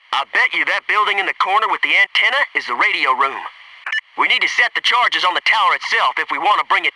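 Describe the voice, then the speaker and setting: A man's voice: high voice, far from the mic, background noise. A man playing a cocky, wisecracking Navy SEAL squadmate in a military shooter game, with wry, slangy, gung-ho delivery. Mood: wry, relaxed.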